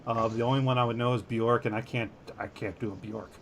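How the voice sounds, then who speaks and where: A man's voice: medium pitch, fairly even intonation, quick pace, a little hiss or hum. A man co-hosting a podcast, reading a news story aloud and breaking off into jokey, self-deprecating tangents. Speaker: podcast co-host.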